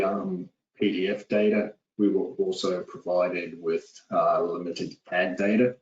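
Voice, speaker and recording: A man in his forties with a New Zealand accent, giving a steady, businesslike webinar presentation with frequent "uh"s over a call. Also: deep voice. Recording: echoey, distant, dull lo-fi audio.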